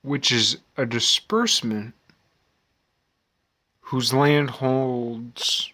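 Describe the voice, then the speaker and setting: American man, forties, mid-pitched voice. Rambling vlog monologue, slow and halting, musing, circles back on phrases. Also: steady tone, sharp tone. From United States. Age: 40s. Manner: halting, musing, repeats phrases with long pauses. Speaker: vlogger.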